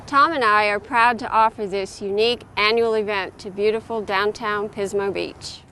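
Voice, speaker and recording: A woman's voice: mid-range voice, fairly even intonation, normal speed, sharp tone, a little hiss or hum, low-quality recording. An older woman delivering scripted promotional lines as a gallery owner. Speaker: gallery owner presenting an event.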